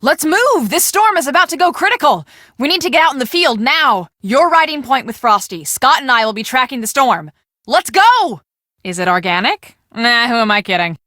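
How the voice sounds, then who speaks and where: An actress playing a brisk, bossy young woman on a storm-chasing team in a video game, speaking emphatically and expressively. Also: sits high, sing-song, sharp tone, no echo, clean studio sound, full character voice. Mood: urgent, commanding, then playful, teasing. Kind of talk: scripted video game dialogue.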